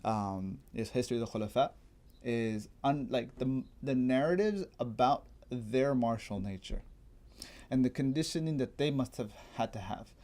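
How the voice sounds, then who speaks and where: A man in a casual chat, explaining history as a guest in an informal, digressive way, as if thinking out loud. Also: mid-range voice, lively intonation, normal speed. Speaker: guest martial arts enthusiast explaining history.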